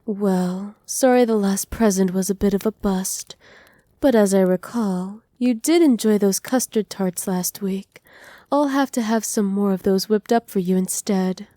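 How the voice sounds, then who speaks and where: A woman playing a doting, possessive witch in an ASMR roleplay, speaking softly with teasing, condescending affection. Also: mid-range voice, unhurried, no room sound, natural voice. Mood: soft, coaxing. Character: doting, possessive witch mistress pampering her captive pet. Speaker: voice actor.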